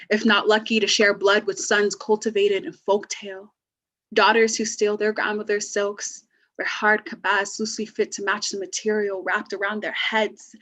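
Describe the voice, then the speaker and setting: A teenage girl reciting her own spoken word poem slowly and deliberately. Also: mid-range voice, fairly bright tone. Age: teens. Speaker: youth poet. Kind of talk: spoken word poetry reading.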